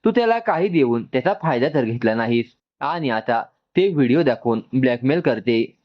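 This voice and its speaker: An angry, suspicious sister in a storybook drama acted out by a man, firing accusing questions with big pitch swings. The sound is a bit dull and lo-fi. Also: animated, normal speed, natural voice. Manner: sharp interrogating, accusing.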